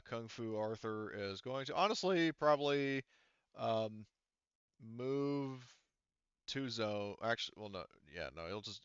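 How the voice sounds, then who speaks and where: A man's voice: fairly low pitch, clear, resonant tone, dry recording, highs cut off. A man with an informal, sweary way of talking, running an online tabletop roleplaying game as game master.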